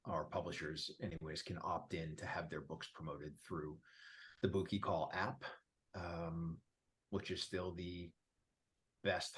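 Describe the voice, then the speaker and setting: A man in a casual group interview, talking about his work in a relaxed, emphatic way and laughing easily. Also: low-pitched. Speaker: featured guest, app founder.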